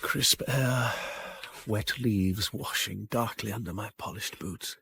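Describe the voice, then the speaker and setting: A refined upper-class English gentleman detective in a radio drama. He speaks slowly and reflectively, with a slightly husky voice. The sound is dry and close, suited to private musing. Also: fairly low pitch, dry recording, heavily acted. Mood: wistful musing. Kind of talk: BBC radio drama acting with interior monologue.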